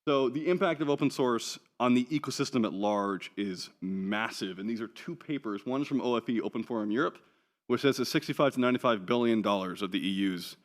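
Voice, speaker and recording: A man's voice: medium pitch, fairly quick. A man giving a conference talk from slides, chatty and wry, with plenty of ums and offhand jokes. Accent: none New Zealand. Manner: casual, chatty, wry asides with many ums. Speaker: conference speaker. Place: conference hall.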